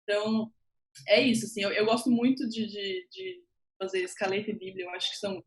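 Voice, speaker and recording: A woman's voice: mid-range voice, flat delivery, fairly quick. A woman with a Brazilian accent speaking casually as a writer on a panel, in an echoey recording. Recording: echoey room sound.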